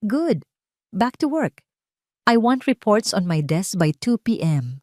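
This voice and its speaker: A woman voicing a commanding young woman executive who speaks crisply and with authority. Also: medium pitch, very animated, slow, mellow, dry recording, clean studio sound, pitched above the performer's own voice.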